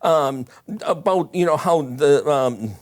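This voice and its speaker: A man hosting a talk show, introducing his guest in a halting, folksy, casual way. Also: fairly high pitch, expressive, darkish tone.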